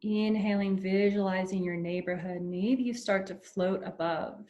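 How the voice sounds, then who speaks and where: A woman leading a guided meditation in a soothing, unhurried way with long pauses between phrases. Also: medium pitch, steady tone, a little mellow, muffled recording.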